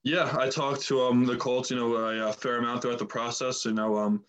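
A young man, a rookie football lineman, answering reporters in a flat delivery that leans heavily on filler phrases. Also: medium pitch, fairly quick. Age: young adult. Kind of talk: press conference answers.

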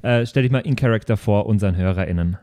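A man's voice: lowish voice, quick pace, mellow. Casual joking male podcast host. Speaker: podcast host and role-play participant.

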